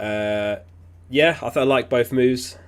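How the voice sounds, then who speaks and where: A man hosting a casual livestream, reading viewer messages aloud in a relaxed, chatty way. He is sniffly and keeps clearing his throat. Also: mid-range voice, a little slow. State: sniffly, getting over an illness. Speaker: fantasy football livestream host.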